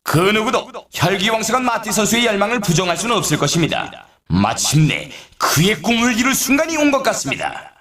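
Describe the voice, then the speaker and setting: Echoey high-pitched excitable race announcer. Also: male voice, brisk, a crowd in the background. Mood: dramatic, eager. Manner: dramatic, rhetorical, showy. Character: excitable male snowboard race announcer, dramatic and eager. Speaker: sports announcer. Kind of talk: scripted sports commentary. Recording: roomy echo, distant from mic.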